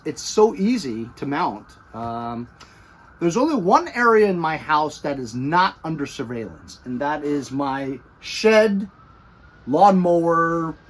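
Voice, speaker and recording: A middle-aged American man giving a casual, chatty product review with animated emphasis, recorded by a camera a few feet away in a garage. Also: on the high side. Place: cluttered garage or workshop.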